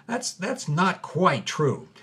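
Husky man hosting a scripted podcast. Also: fairly high pitch, wildly swooping pitch, slow, a bit bright. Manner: dramatic, reads documents aloud. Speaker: host.

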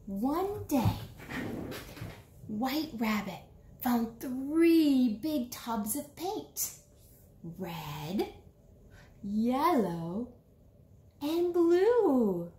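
A woman in her forties doing an animated storytime read-aloud for young children, expressive and sing-song, with drawn-out words. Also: high voice. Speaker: children's author reading a picture book aloud. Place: small indoor room, seated before a bulletin board. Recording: roomy small-room echo.

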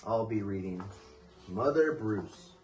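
Slow, animated young man reading aloud. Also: fairly low pitch, soft background music, rough recording. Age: young adult. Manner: expressive, deliberate storytelling. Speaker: storybook reader. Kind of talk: children's picture book read-aloud. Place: living room, indoors.

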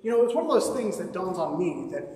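A man in his thirties, a priest giving relaxed, joking church announcements in a large sanctuary, heard from a far camera. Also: high voice, fast talker. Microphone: far camera, handheld mic at times. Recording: echoey hall from far off, noisy.

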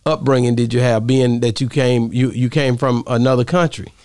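A man's voice: medium pitch, steady tone, normal speed, darkish tone, no echo. A man in his thirties hosting a podcast, chatting casually in a laid-back, rambling way with many fillers. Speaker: podcast host. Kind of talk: casual interview chat. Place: podcast studio, indoors. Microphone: studio mic on arm, headphones.

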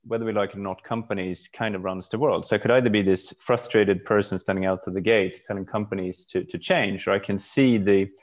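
Low-voiced man, reflective podcast guest. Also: fairly even intonation, brisk, hardly any pauses. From Stockholm, Sweden. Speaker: podcast guest, brand executive. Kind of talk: casual interview talk.

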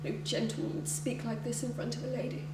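Primly dignified, formal lady in mourning for stage comedy, played by a woman; distant mic with clear background hum. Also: fairly high pitch, flat delivery, quick pace, a little mellow, close to an everyday voice. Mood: offended. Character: haughty, prim, reclusive lady in mourning. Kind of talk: theatrical stage comedy acting. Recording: echoey room, distant mic, noisy hum.

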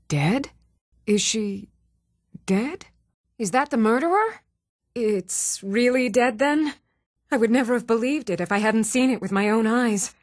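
An even-tempered townswoman from a fantasy video game. She speaks her scripted lines earnestly and clearly, at a middling pitch. The sound is close and dry, with no room around it. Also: no echo. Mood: awed, uneasy.

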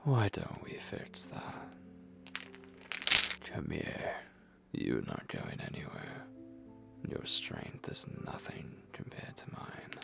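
A man playing a possessive, commanding vampire lord in an ASMR roleplay, speaking in breathy, gravelly whispers with slow, menacing condescension. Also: extremely low pitch, wildly swooping pitch, over loud music, full character voice. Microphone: close microphone.

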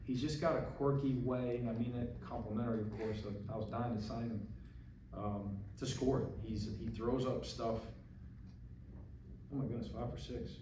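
A middle-aged man, a basketball coach answering postgame press questions, thoughtful and halting with many restarts, speaking into a podium mic. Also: mid-range voice, fairly even intonation, background noise. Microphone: podium mic on a stand. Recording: echoey press room from a far camera.

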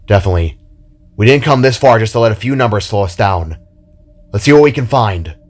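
Man voicing an anxious, halting young hero. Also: lowish voice, lively intonation. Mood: determined. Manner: halting, stuttering when worried. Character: anxious winged spark hero, male, stammering with dread. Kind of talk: dramatic story character reading.

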